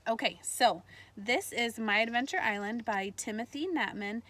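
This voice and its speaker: A woman teacher reading a storybook aloud to kindergartners in an animated way, pausing to put questions to her listeners. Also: on the high side. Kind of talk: read-aloud lesson for young children. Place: outdoors in a backyard tree fort among trees. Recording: faint outdoor ambient noise.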